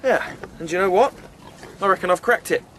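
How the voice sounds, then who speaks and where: A man's voice: high-pitched, a little fast, fairly bright tone, slight background noise. A man with an English accent playing an earnest, ambitious farmer in a radio soap. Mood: upbeat. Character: earnest, ambitious English farmer pitching his business ideas.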